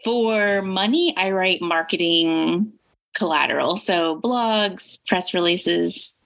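Woman from Indiana, podcast co-host, easygoing and chatty, a touch low. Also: slow pace, vocal fry, a bit bright, low-quality recording. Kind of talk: casual chat.

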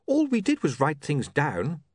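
Casting: fretful earnest young man, high strained voice, read by a male narrator. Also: wildly swooping pitch, a little slow, dry recording, clean studio sound, heavily acted. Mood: anxious. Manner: fretful, exclaiming.

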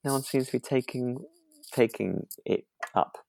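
A woman co-hosting a relaxed podcast chat, speaking in a tentative, conversational way. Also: rumbling low, normal speed, vocal fry, no echo, low-quality recording. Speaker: podcast co-host. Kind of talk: relaxed chat discussing a radio drama.

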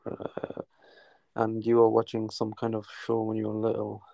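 A man from Newcastle, England, a guest caller chatting casually, talking haltingly with many restarts and fillers. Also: lowish voice, monotone, vocal fry, mellow, no room sound. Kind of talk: casual chat.

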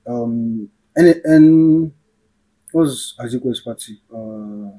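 Nigerian guy hosting a chill politics chat, warm husky voice, keeps correcting himself. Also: medium pitch, very slow, low-quality recording. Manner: thoughtful, self-correcting, trails off quietly. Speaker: podcast host.

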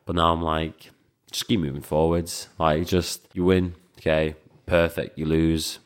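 A man's voice: rumbling low, level tone, measured pace, smooth. A Latvian-born man in his twenties with a Scouse accent, a kickboxer and coach talking casually as a guest, full of fillers and restarts. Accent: Liverpool (Scouse). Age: 20s. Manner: rambling, many fillers and restarts, acts out quoted speech. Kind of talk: casual interview conversation.

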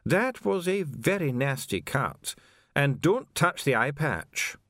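A calm, gentle male doctor, as a male narrator puts him on, speaking steadily to a patient. The voice moves with lively pitch. The storybook reading is dry and close. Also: takes it slowly, no room sound, full character voice.

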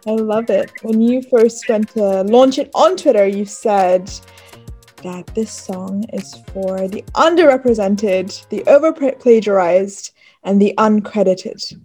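A woman's voice: mid-range voice, slow, dark tone, slightly rough, soft background music. A woman interviewing a musician, affirming her often and asking long questions. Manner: affirming, repeats "beautiful".

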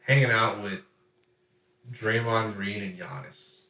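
A man's voice: lowish voice, slow, a little hiss or hum. A man talking sports casually and animatedly, swearing freely and acting out imagined quotes as he tells his stories. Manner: animated storyteller who acts out imagined quotes, profane. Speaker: podcast host. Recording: roomy echo, distant from microphone.

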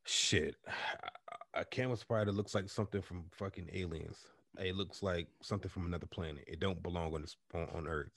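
Deep-voiced man telling a story. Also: normal speed, gravelly, slightly rough, no echo. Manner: animated storyteller, swears freely, stammers on restarts. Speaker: podcast co-host telling a story. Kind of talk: casual chat among friends.

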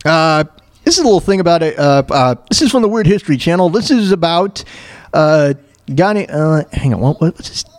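High-pitched, animated man ranting on news. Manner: halting, repetitive, rambling delivery; blunt, emphatic. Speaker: show host. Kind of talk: opinionated commentary on news clips.

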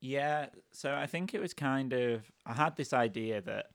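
A man reading his own poetry aloud slowly and evenly, then chatting as a podcast guest in a measured, even tone. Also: mid-range voice, creaky, dry recording, studio quality. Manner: slow, measured, even delivery. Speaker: guest poet.

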